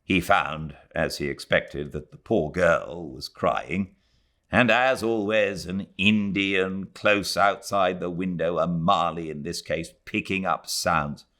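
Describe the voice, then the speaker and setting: British-born guy reading a classic novel, low voice, slow and measured. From Britain. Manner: measured, even storytelling. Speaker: audiobook narrator. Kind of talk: literary audiobook narration.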